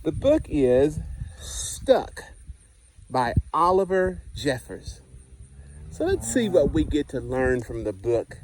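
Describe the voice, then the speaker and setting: A man's voice: a little high, big pitch swings, slow pace, a little mellow, a little hiss or hum, low-quality recording. A man, a school principal, reading a children's picture book aloud expressively, with asides to the listeners.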